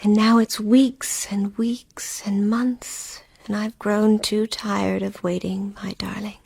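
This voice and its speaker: A grieving wife in a radio drama, close and dry in sound. She speaks slowly and broodingly, weary and hushed, sometimes nearly whispering. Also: mid-range voice, flat delivery, creaky, slightly rough, dry recording, heavily acted. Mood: bleak, weary. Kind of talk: radio play acting.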